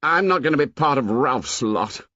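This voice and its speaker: A man voicing an angry, humiliated boy who leads a band of hunters, talking loudly and on the verge of tears. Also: medium pitch, animated, normal speed, dry recording, lo-fi sound, voice pushed up. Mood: defiant. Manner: loud, shouting, tearful.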